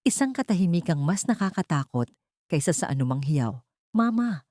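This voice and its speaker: A woman's voice: sits low, sing-song, a little fast, warm tone, no room sound, clean studio sound. A woman narrator reading a dramatic scripted story.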